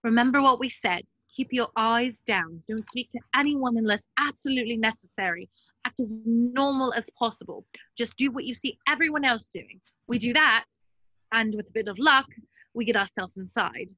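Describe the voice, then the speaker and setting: A sensible, bossy young witch played by a woman in an amateur table read. The voice is fairly high, sharp and dry, briskly telling others what to do. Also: no echo, muffled recording. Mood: firm, instructing. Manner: brisk, instructing. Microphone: video call.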